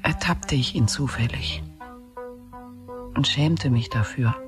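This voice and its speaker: An actor playing a reflective narrator with a confessional air, delivering literary narration in a radio drama. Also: male voice, mid-range voice, slow, lightly acted. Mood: pensive. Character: reflective, ashamed narrator recalling a secretly observed man. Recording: music under the voice. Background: music.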